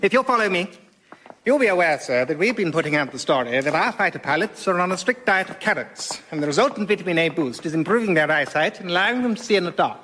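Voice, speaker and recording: A man's voice: high voice, expressive, quick pace, bright, forceful tone, slight background noise. A man with a British accent playing a polite, hesitant character in a radio comedy sketch. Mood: deferential.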